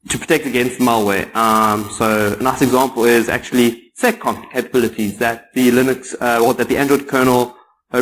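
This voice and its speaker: Man, South African accent, sarcastic tech talk, mid pitch, lecture room, faint hum. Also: fairly bright tone, poor audio. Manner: sarcastic, joking. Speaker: conference speaker. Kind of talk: conference talk.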